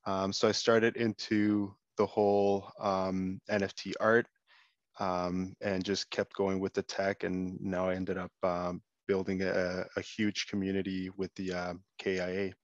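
A man speaks as a panelist in a measured, even way, pausing on "um" as he goes. His voice sits a little low and barely moves in pitch. It comes through dry, dull, lo-fi call audio. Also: no echo. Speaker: project co-founder, panelist. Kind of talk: casual panel talk. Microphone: remote call audio.

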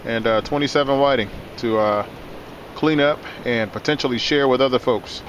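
A man's voice: normal speed, lo-fi sound. A middle-aged man narrating a casual fishing how-to in an easygoing, folksy way. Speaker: host of a fishing channel. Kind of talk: casual instructional vlog narration. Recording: loud steady background hiss and rumble.

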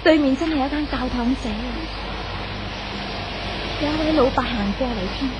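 A woman's voice: high-pitched, moderate pace, muffled recording, background noise, close to an everyday voice. A woman playing an earnest young woman devotedly in love in a 1970s radio drama, speaking emotively with a Hong Kong Cantonese accent. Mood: searching, polite. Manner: earnest, emotive, musing aloud. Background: music.

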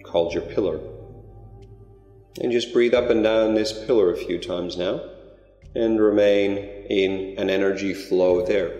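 A man leading a guided meditation in a slow, gentle, drawn-out way. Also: low-pitched, creaky. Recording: roomy reverberant recording with soft music. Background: new-age music.

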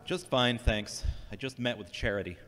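A man's voice: medium pitch, normal speed, a bit bright, lightly acted. A man playing a polite, self-doubting grown son in an audio drama, plain and understated. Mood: reserved. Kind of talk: amateur audio drama acting. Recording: long hollow reverb.

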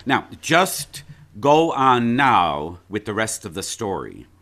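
A man's voice: on the low side, very animated, slow, a bit bright, heavily acted. A man playing an officious county attorney in an audio drama, probing and cutting in as he questions a witness. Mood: impatient. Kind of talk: live audio stage drama.

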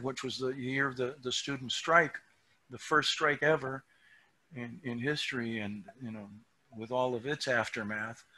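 An older man talking on a video call through a headset, reflective, his voice trailing off quietly at the ends of thoughts. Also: mid-range voice, rough recording. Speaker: film subject, interviewee. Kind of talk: casual video call discussion. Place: small room at home.

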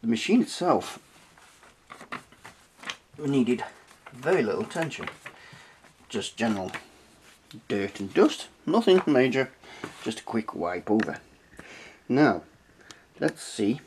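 Lively British man giving a casual tutorial. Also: mid-range voice, slow, pauses often, slight background noise. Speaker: host of a retro tech review channel. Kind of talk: casual hands-on tutorial and review. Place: small cluttered indoor room at a desk.

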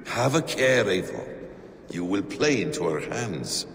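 An actor playing a watchful, fatherly mentor in a video game, giving measured, cryptic counsel in formal words. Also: fairly low pitch, very animated. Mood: urgent warning. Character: watchful, fatherly male mentor, cautioning and enigmatic. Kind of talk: dramatic video game acting. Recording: clear ambient noise behind dialogue.

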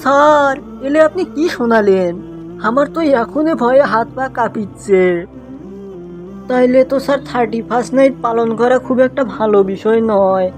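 A man's voice: very high-pitched, moderate pace, faint music behind. A man with a Bogura accent from northern Bangladesh voicing a cheeky, naive young pupil in a comic cartoon, addressing his teacher. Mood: humbled. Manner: argumentative, puzzled, addresses teacher respectfully.

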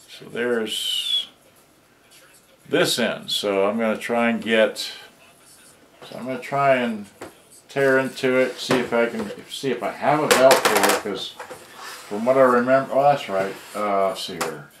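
Slow, muttering man in an echoey room. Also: lowish voice. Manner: rambling, muttering to himself. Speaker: hobbyist repairer showing a vintage boombox. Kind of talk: casual unscripted demonstration. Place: cluttered indoor workbench. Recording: roomy, noisy home camera recording.

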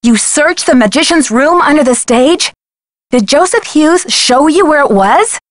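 Game heroine: young American female detective, fairly high-pitched, determined, articulate, dryly recorded. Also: animated, a little slow, no echo, studio quality. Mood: upset, insistent. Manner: insistent, polite, clearly spoken. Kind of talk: video game voice acting.

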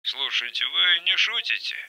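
A man voicing a curt, doubtful male production manager in an audiobook, speaking quietly and matter-of-factly. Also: on the high side, expressive, moderate pace, creaky, voice pushed up, exaggerated voice, rough recording.

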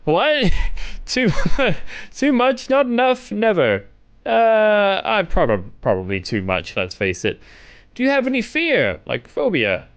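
A man's voice: sits high, big pitch swings, slow pace, fairly bright tone, clear, resonant tone. A young man doing casual, playful let's-play commentary, reacting and reading game text aloud. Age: young adult. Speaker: gaming video host.

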